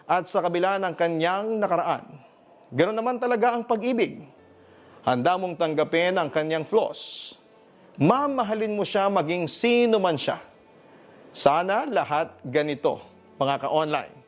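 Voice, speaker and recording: A man's voice: high voice, lively intonation, normal speed, lo-fi sound. Filipino man narrating over music. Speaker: radio announcer and story host. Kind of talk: dramatic scripted narration of a listener's letter. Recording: music bed under the voice. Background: instrumental music.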